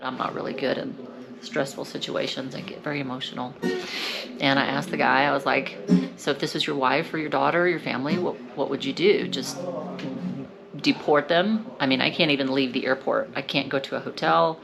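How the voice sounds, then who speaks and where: A woman vlogger speaking candidly to camera, upset and anxious, with hesitant pauses. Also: a bass voice, steady tone, gravelly, over loud music. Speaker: travel vlogger. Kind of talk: candid vlog talk to camera.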